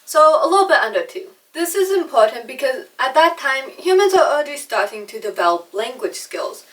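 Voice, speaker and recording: A woman's voice: high-pitched, very animated, a little fast, far from the mic, rough recording. A young woman who was adopted from China and raised in America, talking in a chatty, self-deprecating way about her speech. She speaks with a slight lisp and some trouble with R sounds. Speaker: vlogger. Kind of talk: personal storytelling vlog.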